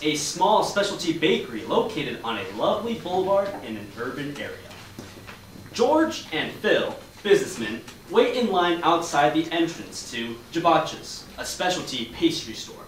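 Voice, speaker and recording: A brisk male narrator of a comic stage play, high and animated in pitch. He reads stage directions from a distance in a very echoey hall. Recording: echoey hall from far off, faint noise.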